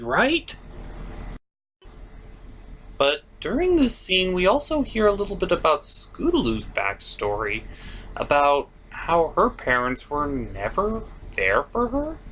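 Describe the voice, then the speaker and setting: A man's voice: on the high side, fairly bright tone, slight background noise. A man hosting a comic scripted review, narrating with theatrical, sarcastic, mock-dramatic emphasis. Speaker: cartoon reviewer, show host.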